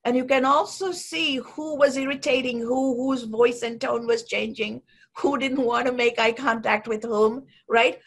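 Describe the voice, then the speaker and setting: A woman with a Sri Lankan accent, speaking as a podcast host. Her voice is a little high and has a gravelly edge. She explains things patiently and keeps asking the listener "right?". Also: steady tone, a little slow. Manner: explanatory, frequently adds "right?". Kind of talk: conversational podcast discussion.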